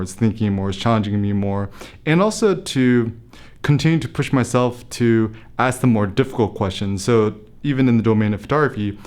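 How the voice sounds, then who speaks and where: A man's voice: fairly low pitch, a little mellow, clean tone. A young American man, a photography blogger, talking casually to camera and thinking aloud, with fillers and restarts. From United States. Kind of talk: casual motivational talk to camera.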